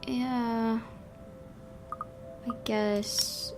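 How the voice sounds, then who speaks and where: A woman's voice: a little high, flat delivery, extremely slow, darkish tone. A woman hosting a gaming video, giving casual commentary and reading the story narration aloud. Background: game music.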